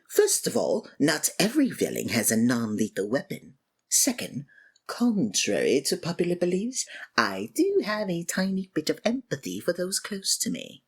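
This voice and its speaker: A woman acts out a supervillain in an ASMR audio drama, weary yet theatrical. The voice sits low and husky and moves at an unhurried, slow pace. The delivery is sarcastic and taunting, a grand monologue to a captive rival. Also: exaggerated voice. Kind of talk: scripted ASMR roleplay acting.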